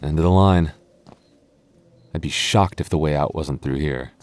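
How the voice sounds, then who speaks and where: A man voicing an affectionate, teasing young goth boyfriend in an ASMR roleplay, talking softly and intimately. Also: very deep voice, moderate pace. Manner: soft, intimate, playfully teasing. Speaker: voice actor.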